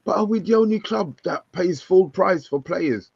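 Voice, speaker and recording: A man's voice: high voice, moderate pace, gravelly, a little mellow, breathy edge, no room sound. Incredulous man on a dull lo-fi mic. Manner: incredulous, cuts in, repeats himself. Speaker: podcast co-host. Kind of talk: casual football chat on a video call.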